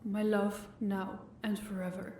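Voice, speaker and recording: A young woman playing a composed, dutiful and quietly protective princess in an audio roleplay drama. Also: mid-range voice, flat delivery, a little slow, a little hiss or hum, close to an everyday voice. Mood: solemn.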